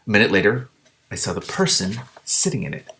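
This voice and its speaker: Low-voiced man reading a story aloud. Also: big pitch swings, a little fast, fairly bright tone, slight background noise. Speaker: host reading a novel aloud with commentary. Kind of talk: expressive storybook narration with casual asides.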